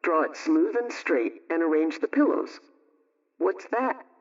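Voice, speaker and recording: A man with a fairly high voice reads a scripted homemaking chat in old-time radio style. He is instructive and conversational, sounding a bit distant on a muffled, lo-fi recording. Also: animated, measured pace. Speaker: household advice program host.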